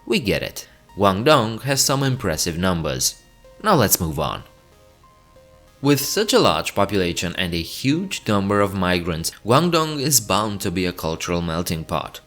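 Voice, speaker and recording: Man, low voice, animated intonation, scripted educational narration, casual asides to viewer. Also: measured pace, soft background music. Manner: chatty asides to the viewer between facts. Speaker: channel host.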